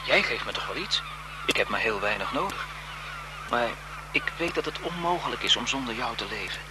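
An actor playing a lovesick, desperate photographer in a melodramatic, impassioned radio thriller style. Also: male voice, mid-range voice, expressive, brisk, close to an everyday voice. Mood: earnest, devoted. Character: lovesick, desperate male photographer turning bitter and vengeful. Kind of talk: 1970s Dutch radio thriller acting. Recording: noisy 1970s radio drama broadcast.

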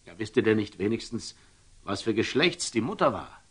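An actor playing a grave, pious, devoted father in a classical radio drama, declaiming measured verse. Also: fairly low pitch, sing-song, a bit bright, breathy edge, full character voice. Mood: resolute, earnest.

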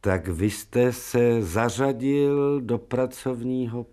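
An older man playing a dignified, learned professor who speaks deliberately in a radio drama. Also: mid-range voice, fairly even intonation, warm tone, natural voice. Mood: uneasy, probing.

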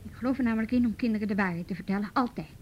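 A woman's voice: mid-range voice, very fast, dull audio, a little hiss or hum, lightly acted. An actress playing a frank, firm woman mediating in a radio drama, reasoning directly. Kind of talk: Dutch radio drama acting.

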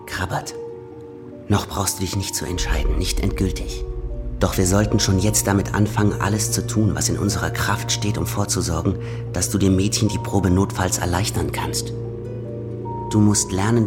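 A man's voice: low-pitched, lightly acted. An actor playing an earnest, cautious journeyman who instructs a friend urgently and protectively in a German radio play.

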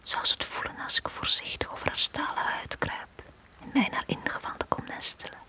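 An actress playing a forlorn, lovesick woman in a radio drama from the 1980s, speaking in a hushed, breathy, poetic manner. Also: lightly acted. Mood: longing, dreamy. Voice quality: breathy, whispery. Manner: slow, hushed, poetic delivery. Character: Tanja, forlorn, lovesick woman left on the quay.

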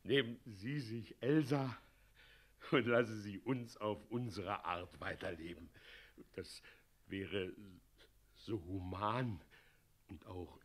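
An actor playing a pompous, blustering, self-serving town mayor in a classic German radio play. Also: male voice, lowish voice, slow, long pauses. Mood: slyly persuasive.

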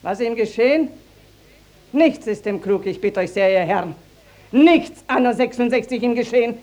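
A woman acts out an indignant village mother in classic stage-style radio drama. Her voice is high, and she declaims her complaint with proud, accusing emphasis and plenty of dramatic build. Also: animated, moderate pace, a little mellow, lightly acted.

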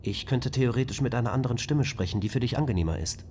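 A courteous spaceship computer , voiced by a man, talks in a measured, formal, logical way. His voice sits at a middling pitch for a man, with a faint hiss behind it. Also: darkish tone, lightly acted. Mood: even. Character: calm polite male ship computer AI. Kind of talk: radio drama acting.

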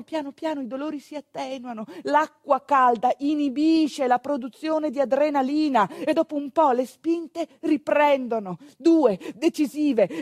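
A woman performing a theatrical monologue as a storyteller of rural midwifery, with a dramatic, emphatic delivery full of deliberate pauses. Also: high-pitched, a little mellow, no room sound, studio quality. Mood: urgent, excited. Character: female storyteller recalling a rural midwife's work. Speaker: stage actress narrating a monologue.

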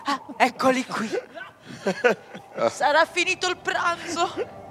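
A woman's voice: takes it slowly, pushed and bright, full character voice. A woman playing a puzzled, chatty servant musing hesitantly in a stage drama monologue. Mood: wondering. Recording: clear background rumble and noise.

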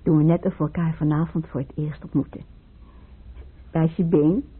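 An actress playing a wistful, fragile young woman in grief, reminiscing haltingly in a Dutch radio play. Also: deep voice, steady tone, husky. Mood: tender, playful. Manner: halting, reminiscing, repeats herself. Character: grieving young woman, Maria, wistful, fragile, tearful, in love. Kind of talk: Dutch radio play acting, intimate monologue. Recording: muffled, phone-like old radio recording.

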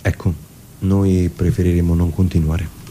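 A man's voice: deep voice, level tone, normal speed, warm tone, slight background noise. A man with a dryly playful manner, talking casually as a theatre director in a radio interview. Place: radio studio.

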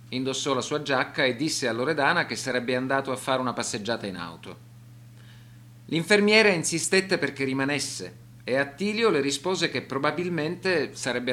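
A man giving scripted documentary narration for a radio drama in a serious, measured manner. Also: medium pitch, pushed and bright.

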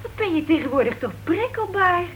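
An actress playing a patient, placating wife in a radio play, soothing and apologetic with gentle reproach. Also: female voice, very high-pitched, big pitch swings, a little fast, heavily acted. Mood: gently reproachful. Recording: dull, noisy old radio play recording.

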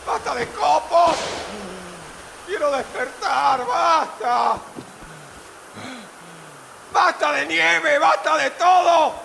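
An actor playing an anguished, horrified man trapped in a deadly snowfall in a radio drama, with a gravelly, haunted, desperate delivery. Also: very high voice, extremely slow, pauses often, background noise, heavily acted. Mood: desperate shouting. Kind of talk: radio drama acting and narration.

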